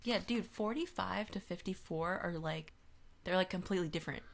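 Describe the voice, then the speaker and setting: A woman with a somewhat low voice speaks as a podcast co-host. Her delivery is informal and wry, like a casual chat about books with a friend. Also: fairly quick, vocal fry. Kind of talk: casual book discussion chat.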